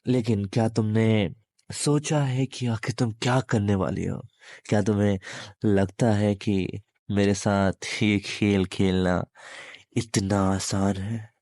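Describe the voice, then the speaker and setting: A menacing male demon acted by a man speaks straight to the listener in a low voice. The delivery is very slow and halting, taunting and possessive, with long pauses. It is a dry, close-sounding audio roleplay. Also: fairly even intonation, a little mellow, no room sound. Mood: sly, threatening. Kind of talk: intimate audio roleplay monologue addressed to listener.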